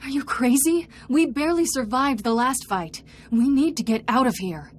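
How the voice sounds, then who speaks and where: Spirited, urgent Jedi companion. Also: female voice, high-pitched, lively intonation, moderate pace, noisy background. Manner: direct, urgent.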